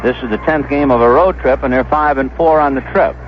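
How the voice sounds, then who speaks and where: A man's voice: mid-range voice, fairly quick, lo-fi sound, slight background noise. A man calling baseball play-by-play as a radio announcer, on an old 1970s broadcast. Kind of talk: radio sports commentary.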